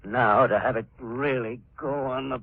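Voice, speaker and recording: A man's voice: medium pitch, normal speed, creaky, no room sound. An actor playing a grumbling, jittery villain's henchman in 1940s radio drama style. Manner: grumbling, excitable. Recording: dull, hissy 1940s radio recording.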